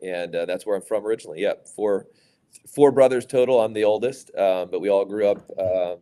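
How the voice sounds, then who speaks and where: Low, mellow-voiced man from Louisiana. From Louisiana, USA. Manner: measured, many fillers and restarts. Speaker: private equity executive, guest. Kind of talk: conversational interview answers.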